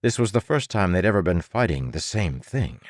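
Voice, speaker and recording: A man's voice: low voice, sing-song, normal speed, no echo, studio quality. A man reading an action story as an audiobook narrator in a dramatic, scripted style.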